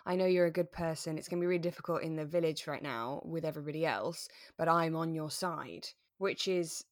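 A woman's voice: deep voice, a little fast, no room sound, clean studio sound. A woman co-hosting a podcast, casually recapping and discussing a radio drama. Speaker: podcast co-host.

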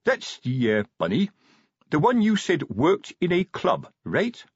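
A man's voice: a little high, sing-song, unhurried, breathy edge, dry recording, dull audio, pitched above the performer's own voice, exaggerated voice. A man voicing a pompous, fatherly older police sergeant in an audiobook, hesitant and prudish in his colloquial talk. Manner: hesitant, self-important, colloquial working-class phrasing. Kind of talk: audiobook character dialogue.

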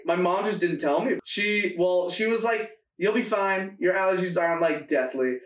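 A young man co-hosting a podcast, telling animated stories in casual chat and laughing often. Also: high voice, normal speed, distant mic. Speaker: podcast co-host. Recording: echoey room, away from mic.

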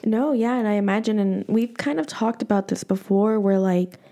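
Chatty woman podcast host with a mellow, warm voice and loose, filler-heavy talk. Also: medium pitch, moderate pace. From United States. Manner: chatty, many fillers and restarts. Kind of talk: casual podcast chat.

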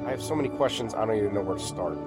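A man's voice: lowish voice, very fast, big-room sound, over loud music, close to an everyday voice. An actor playing a wry, awestruck man newly arrived in a strange city, in an audio drama with a casual, wisecracking manner. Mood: dazed. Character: awestruck, wry, rough-edged male newcomer to an undersea city.

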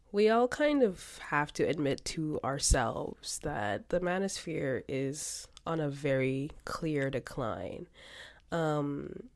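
A young woman hosting a casual solo podcast, congested from a cold, rambling with many fillers and restarts. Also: sits low. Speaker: podcast host.

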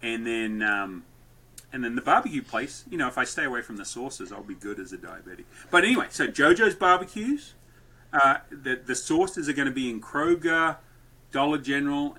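A man guesting on a podcast, telling stories and dad jokes loudly in a rambling, lively way. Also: medium pitch, normal speed, slightly rough, low-quality recording. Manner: loud, joke-telling, rambling. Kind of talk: lively conversational storytelling.

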